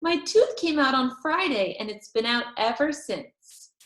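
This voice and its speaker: A woman voicing an excitable, boastful little girl in a children's storybook, with an eager, emphatic, childlike delivery. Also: high-pitched, unhurried, pitched above the performer's own voice. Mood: excited, proud. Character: excitable, boastful little girl, kindergarten or first-grade age. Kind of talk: children's storybook read-aloud. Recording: roomy echo.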